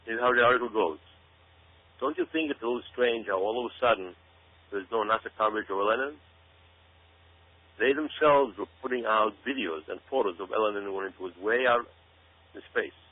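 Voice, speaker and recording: A man's voice: fairly low pitch, brisk, long pauses. A man delivering an emphatic, dramatic commentary to listeners, repeating himself for effect. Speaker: guest commentator. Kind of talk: commentary monologue addressed to listeners. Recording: tinny phone-like radio sound with hum.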